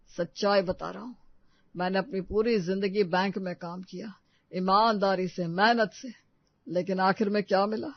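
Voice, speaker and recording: A man's voice: high voice, no echo, muffled recording. A man playing a worried, earnest retired father counselling his son in a story drama. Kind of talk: acted story dialogue.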